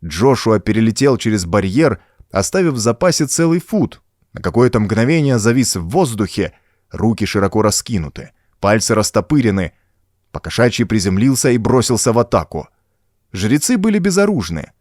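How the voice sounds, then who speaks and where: A man's voice: lowish voice, big pitch swings, moderate pace, a little mellow, no echo, studio quality. A man reading a pulp horror story as an audiobook, in a dramatic, scripted storytelling manner. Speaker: audiobook narrator.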